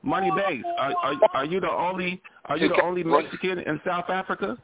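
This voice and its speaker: A man ribbing callers on a call-in show, rattling off jokes at speed in a gravelly voice. He comes through a thin phone line buried under loud noise. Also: high-pitched, expressive, a loud crowd. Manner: fast joking ribbing. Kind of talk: casual call-in radio banter.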